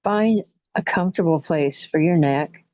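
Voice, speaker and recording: A woman leading a guided relaxation meditation in a soothing, unhurried manner, leaving long pauses between short phrases. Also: deep voice. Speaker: guided imagery facilitator. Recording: muffled, dull lo-fi audio.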